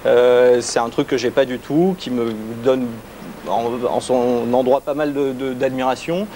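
A man in his thirties answers questions on a TV studio set, halting and restarting often. A lapel mic catches him with faint noise behind. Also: mid-range voice, steady tone, mellow. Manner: hesitant, many restarts and fillers. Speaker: guest photographer. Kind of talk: casual TV interview.

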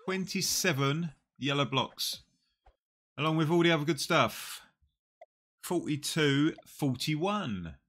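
A man in his forties with a slightly nasal voice from a cold, quietly talking through a mobile puzzle game on a headset. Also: a little high, expressive. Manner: slow, muttering play-by-play, often trailing off. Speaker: gaming channel host. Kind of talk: casual mobile game commentary. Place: small room indoors.

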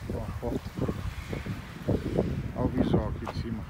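A man's voice: medium pitch, little pitch movement, moderate pace. A middle-aged Brazilian man narrating a casual walking vlog outdoors on a handheld phone. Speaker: vlogger. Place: outdoors by a roadside building. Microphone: handheld phone at arm's length. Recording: very noisy outdoor wind and traffic.

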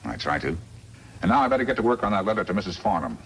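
A man's voice: low voice, big pitch swings, very fast, fairly bright tone, heavily acted. An actor playing a scheming, smug crooked lawyer in a 1950s radio western, calculating and emphatic in his delivery. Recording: noisy vintage 1950s radio recording.

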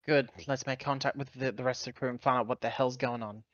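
A man's voice: medium pitch, fairly even intonation, quick pace, fairly bright tone, dull audio. A man playing a tabletop game over voice chat, speaking in short, decisive phrases.

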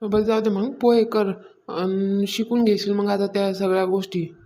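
A woman's voice: medium pitch, little pitch movement, normal speed, mellow, close to an everyday voice. A woman voicing a bossy, stingy, self-important mistress of the house in a cartoon comedy, nagging in colloquial Varhadi Marathi. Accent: Varhadi Marathi, rural Maharashtra.

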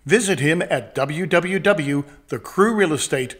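A man's voice: a little high, measured pace, fairly bright tone. A man announcer reading a scripted sponsor message.

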